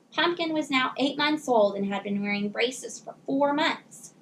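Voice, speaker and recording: A woman teacher reading a children's storybook aloud in a measured, expressive way. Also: a little high, a little hiss or hum, poor audio.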